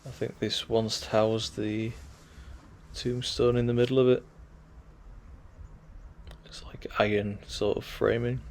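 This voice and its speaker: A man's voice: on the low side, flat delivery, slow, pauses often, a little hiss or hum. A man walking through an old churchyard, reading gravestones aloud in a casual, musing way while losing his voice. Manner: musing, reads gravestones aloud, talks to himself. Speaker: vlogger touring a graveyard. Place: outdoor churchyard.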